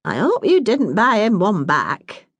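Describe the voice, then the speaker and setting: A woman voicing a middle-aged mother, bossy but warm-hearted, in a brisk, scolding dialect. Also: medium pitch, huge pitch swings, breathy edge, no room sound, pitched above the performer's own voice, exaggerated voice.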